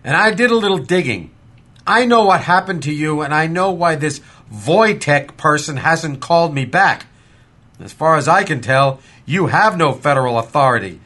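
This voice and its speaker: An actor as a small-town sheriff with a high, forceful male voice. He is blunt and folksy, quick to bristle and defend his turf in an audio drama. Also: expressive. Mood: angry, defensive. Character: hot-tempered small-town sheriff, gruff and defensive man.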